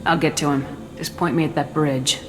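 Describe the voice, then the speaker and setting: A woman's voice: sits low, fairly even intonation, normal speed, natural voice, low-quality recording. An actress playing a tough, no-nonsense female space commander in a video game, speaking in a terse, cool, confident way. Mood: tough, curt. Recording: loud music behind the voice. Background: loud music.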